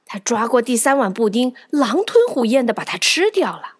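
A woman narrating a children's story as a scripted storyteller, with animated delivery. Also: fairly high pitch, moderate pace. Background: background music.

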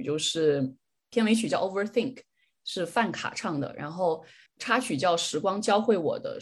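A woman with a somewhat low, breathy-husky voice hosts a podcast in an informal, chatty way. She shares opinions with the keen enthusiasm of a fan. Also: darkish tone. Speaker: podcast host. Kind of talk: casual podcast chat.

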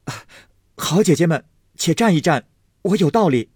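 A man voicing a tender-hearted young nobleman, emotional and quick to grieve. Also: fairly high pitch, animated, darkish tone, husky, no echo, studio quality, pitched above the performer's own voice. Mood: pleading. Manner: pleading, grieved, indignant.

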